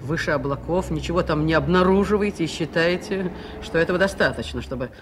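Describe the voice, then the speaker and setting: A man's voice: fairly high pitch, quick pace, natural voice. A performer with a voice heard as male, playing a proud, scornful believer in the occult in a Soviet radio play, speaking with irony. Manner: scornful, ironic. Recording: noisy 1980s radio play recording.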